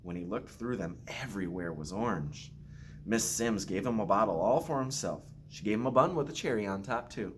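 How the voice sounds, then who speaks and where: Lower-voiced man reading a children's story. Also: animated, normal speed, a little hiss or hum. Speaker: school principal reading to pupils. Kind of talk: children's picture book read-aloud.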